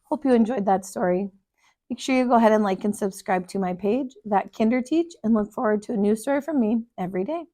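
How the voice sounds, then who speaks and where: A woman reading a children's storybook aloud with deliberate, emphatic, expressive storytelling. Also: medium pitch. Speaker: storybook reader, kindergarten teacher.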